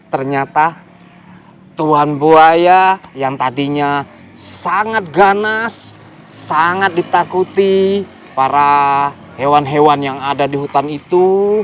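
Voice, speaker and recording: Slow, lively male children's storyteller. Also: fairly high pitch. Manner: lively, addresses young listeners directly. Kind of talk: children's folk-tale storytelling.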